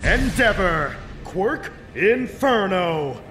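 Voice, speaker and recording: A man's voice: high-pitched, very animated, extremely slow, pushed and bright, faint music behind, full character voice. A man acting a cheeky, showy announcer who introduces heroes in a scripted anime parody, with an echoey sound. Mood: snide. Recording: echoey, faint noise.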